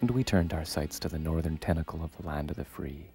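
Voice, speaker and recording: A man with a low, deep voice narrates a scripted documentary. He tells it like a story, at a measured delivery, with music clearly playing underneath. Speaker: voice-over narrator.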